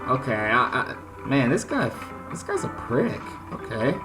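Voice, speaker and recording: A man's voice: medium pitch, sing-song, a little slow. A man doing casual let's-play commentary, reading game text aloud and reacting informally as he goes. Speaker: gaming video host. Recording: music under the voice. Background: music.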